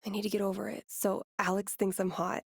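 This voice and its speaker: A geeky young woman from a video game. She talks in a casual, slangy way with a dry, sardonic edge and a playful streak. The sound is close and dry, with a slight creak in the voice. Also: medium pitch, little pitch movement, a little fast, no echo. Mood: lovestruck, uncertain. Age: young adult. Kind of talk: naturalistic game voice acting.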